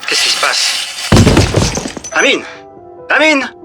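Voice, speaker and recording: An actor playing a frantic, protective brother, urgent and colloquial, in a French audio drama. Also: male voice, very high-pitched, very animated, slow pace, exaggerated voice. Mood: frantic, alarmed. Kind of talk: French fiction podcast acting. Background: music.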